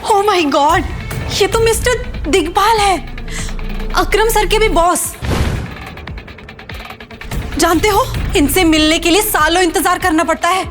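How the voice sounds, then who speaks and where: A woman's voice: extremely high pitch, slow pace, pauses often, music in the background. A woman playing a snobbish, taunting young career woman in an audio drama, with a theatrical delivery. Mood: gushing, awed.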